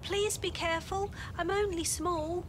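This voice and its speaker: A woman's voice: extremely high pitch, fairly even intonation, a little slow, a crowd in the background. A woman voicing a small, sticky little animal in a musical storybook, polite, fretful and plaintive. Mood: anxious, pleading.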